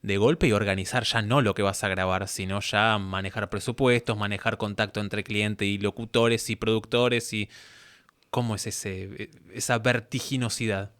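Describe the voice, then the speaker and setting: A man's voice: on the low side, steady tone, normal speed, a bit bright. A man with an Argentine Rioplatense accent, hosting a casual conversational interview. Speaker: interview host.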